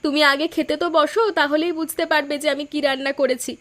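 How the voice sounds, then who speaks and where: A woman's voice: high voice, steady tone, fast, a bit bright. A woman playing a fretful, scolding village wife and mother in a cartoon, emphatic and dramatic. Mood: homely, content. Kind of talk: Bengali cartoon voice acting.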